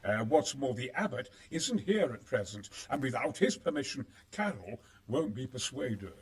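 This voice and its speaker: An actor playing a crafty, conspiratorial schemer in a video game cutscene, with a sly, hedging, expressive manner. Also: male voice, mid-range voice, normal speed. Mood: sly, persuasive. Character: crafty, conspiratorial male schemer sheltering in a monastery.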